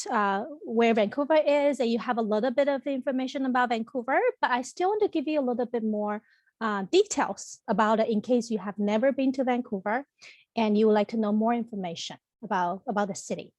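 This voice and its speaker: A woman from Taiwan with a fairly high voice walks prospective students through a college presentation in a friendly, explanatory way, pausing on ums and restarting phrases. She speaks into a computer mic on a video call, and the sound is dry and close with no room around it. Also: darkish tone, dry recording. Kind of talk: online information presentation.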